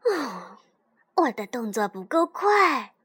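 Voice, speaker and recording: A woman voicing a gentle young male rabbit in a bedtime story, soft and hesitant. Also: fairly high pitch, very animated, takes it slowly, breathy edge, exaggerated voice. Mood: musing.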